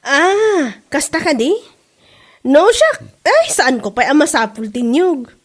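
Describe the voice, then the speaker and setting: Woman doing nosy ilocano neighbor lady, really high voice, teasing and gossipy, comedy drama. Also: big pitch swings, slow, full character voice.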